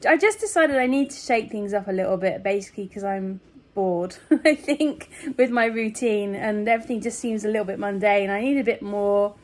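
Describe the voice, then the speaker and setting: A woman's voice: mid-range voice, normal speed. A woman vlogger talking in a casual, rambling, chatty and giggly way, like a personal video diary. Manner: chatty, meandering, often giggly.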